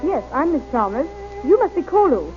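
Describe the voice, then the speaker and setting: Casting: polite, inquisitive young lady, high-pitched, vintage radio serial, narrow tinny sound. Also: big pitch swings, normal speed. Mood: curious, polite. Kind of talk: old-time radio drama acting. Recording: tinny, band-limited old radio with music.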